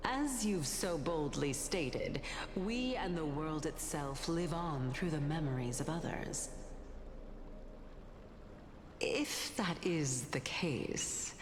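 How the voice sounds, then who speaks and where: A woman's voice: sits low, a little hiss or hum, exaggerated voice. An actress playing a poised, playful and wise woman in a video game, speaking in an elegant, formal, teasing way. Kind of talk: dramatic game voice acting. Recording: long echoing reverb, music behind.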